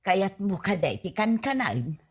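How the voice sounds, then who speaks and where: A woman with an Ilocano accent playing a fond but admonishing older mother in a comedy radio drama, her voice husky. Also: mid-range voice, very animated, measured pace. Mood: earnest. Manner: admonishing, pleading. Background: faint music.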